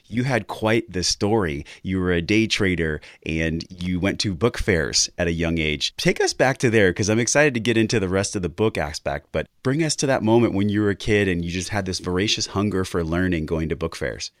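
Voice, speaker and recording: A young adult man hosts a wellness podcast in a deep voice with a dry, close sound. He is upbeat and effusive, praising his guest and asking long, winding questions. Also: no room sound. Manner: enthusiastic, effusive. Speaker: podcast host. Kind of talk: scripted intro then interview questions.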